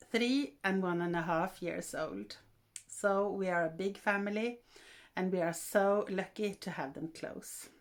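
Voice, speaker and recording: Slow-talking older Norwegian woman. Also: mid-range voice. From Norway. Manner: gentle, unhurried, with frequent hesitations. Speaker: vlogger. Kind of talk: casual vlog narration.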